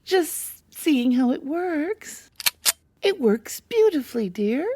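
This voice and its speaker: Woman playing a lively, scheming murderous bride. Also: sits high, slow pace, full character voice. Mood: fake sweetness. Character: scheming, cold-hearted murderous bride, feigning sweetness. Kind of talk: old-time radio comedy acting.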